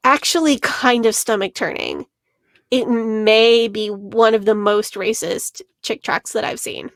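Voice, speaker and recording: A woman's voice: a little high, measured pace, no echo. Earnest woman podcast co-host. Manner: earnest, analytical, laughs between points. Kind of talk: casual podcast discussion.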